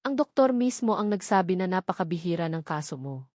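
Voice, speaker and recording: A woman's voice: lowish voice, animated, a little fast, a little mellow, no echo, studio quality. A woman voicing a remorseful, protective older mother in a narrated drama, tender and apologetic as she explains. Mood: sorrowful, explaining. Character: remorseful, protective elderly mother consoling her daughter.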